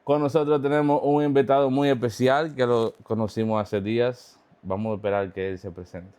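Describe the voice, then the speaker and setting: A man's voice: mid-range voice, steady tone, brisk, a little mellow, clear, resonant tone. A man hosting a faith podcast, greeting viewers and asking questions with short affirmations. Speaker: podcast host. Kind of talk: interview hosting.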